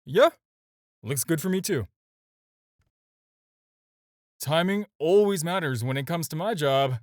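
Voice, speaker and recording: A man's voice: on the high side, normal speed, no room sound, clean studio sound, natural voice. A man acting a flirty, self-assured supervillain in an audio roleplay, talking to the listener in a teasing, casual, conversational way. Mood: casual, reassuring. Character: flirty, smooth, self-assured male supervillain courting his tailor.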